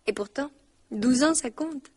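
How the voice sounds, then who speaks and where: Teasing young wife, high voice that jumps around, old radio play. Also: female voice, slight background noise. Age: 20s. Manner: teasing, quick and mocking. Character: sharp-tongued young wife, restless and mocking. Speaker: radio drama actress. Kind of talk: 1960s French radio drama acting.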